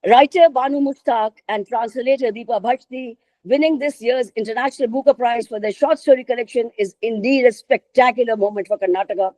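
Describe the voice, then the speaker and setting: A woman giving a literature lecture, measured and repeating phrases for emphasis. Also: fairly high pitch, mellow, dry recording, rough recording. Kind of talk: academic lecture.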